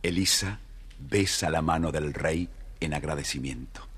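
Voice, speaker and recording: Deep, raspy male fairy-tale narrator. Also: unhurried, a bit bright. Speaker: storyteller, narrator.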